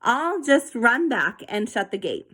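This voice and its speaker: A woman's voice: a little high, big pitch swings, a little slow, pushed and bright, husky, heavily acted. A friendly male zookeeper from a children's storybook, voiced by a woman reading aloud. He comes across as cheerful and practical, with a businesslike way of talking.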